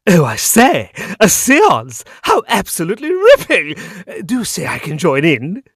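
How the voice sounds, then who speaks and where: An actor playing a gleeful, mischievous upper-class English youth in a period audio drama, full of jolly schoolboy exclamations. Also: male voice, high-pitched, huge pitch swings, measured pace, fairly bright tone, slightly rough, no echo, clean studio sound, heavily acted. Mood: thrilled.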